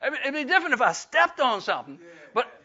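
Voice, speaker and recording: A man's voice: extremely high pitch, very animated, fast talker, bright, forceful tone, husky. An older man, preaching a folksy Bible-study sermon full of fillers and restarts. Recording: dull lo-fi recording.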